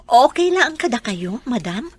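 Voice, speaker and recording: A woman's voice: a little high, sing-song, a little slow, breathy edge, no room sound, exaggerated voice. A woman playing a polite, deferential housemaid and devoted mother in a radio drama, respectful and a little hesitant. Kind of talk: radio drama acting in dialogue.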